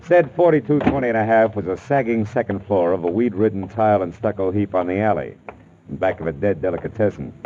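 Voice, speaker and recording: An American man playing a wry, hard-boiled private detective in a 1940s radio drama, with clipped, sardonic delivery. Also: low-pitched. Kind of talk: 1940s radio detective acting, first-person narration and dialogue. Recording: noisy, muffled old radio recording.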